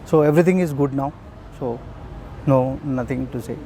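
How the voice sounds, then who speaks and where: Indian man, young adult, halting street-interview answers, faint traffic behind. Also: mid-range voice. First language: Urdu. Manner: hesitant, many fillers.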